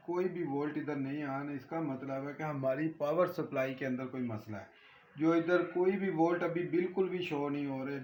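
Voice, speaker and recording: Higher-voiced man in echoey room. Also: a little mellow. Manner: addresses viewers directly, repeats points and checks for understanding. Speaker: electronics repair tutorial host. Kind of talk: informal hands-on tutorial narration. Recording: echoey room, background noise.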